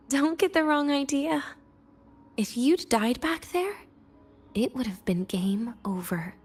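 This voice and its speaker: A woman voice acting a weary, teasing, guarded and cynical young woman patient in a video game, with a breathy, languid delivery that slips into near-whispers. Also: high voice, animated, slow pace, pauses often, darkish tone. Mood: guarded, coy. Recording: music behind the voice. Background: background music.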